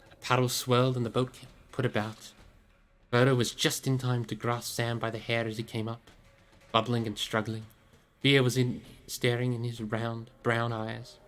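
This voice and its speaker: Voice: mid-range voice, flat delivery, normal speed. A live streamer reading a fantasy novel aloud as narrator, in a storybook narration style.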